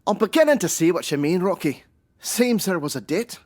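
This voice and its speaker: A woman's voice: lowish voice, very animated, a little fast, darkish tone. Shifty, flustered Scottish swindler man. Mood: placating. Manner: stammering, evasive, wheedling. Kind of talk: comedy audio drama acting.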